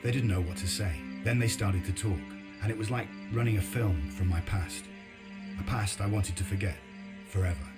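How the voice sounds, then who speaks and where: Man playing a low-voiced, weary war veteran. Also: normal speed, lightly acted. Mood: somber, brooding. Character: weary reluctant male war veteran, haunted and brooding. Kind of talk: dramatic video game narration and dialogue. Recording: loud music under the voice.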